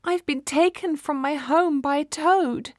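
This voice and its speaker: Thumb-sized storybook girl, played by a woman: high, timid, sweet, a little shaky, dry sound. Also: a little slow, dry recording. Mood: frightened, tearful.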